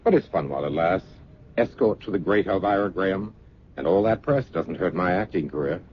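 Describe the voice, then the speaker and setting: Suave, candid escort: very low, lively voice, wry and breezy; vintage radio drama. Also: male voice, brisk. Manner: smooth-talking, wry, breezy. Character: suave, candid actor and kept companion. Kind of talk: old-time radio drama acting. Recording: muffled, noisy vintage radio recording.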